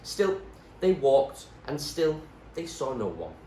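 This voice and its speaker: A man's voice: on the high side, sing-song, slow, dark tone. A man reading a story aloud as narrator, with a dramatic, emphatic delivery. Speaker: teacher reading a novel aloud. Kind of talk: scripted story narration, read expressively. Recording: roomy echo, faint background noise.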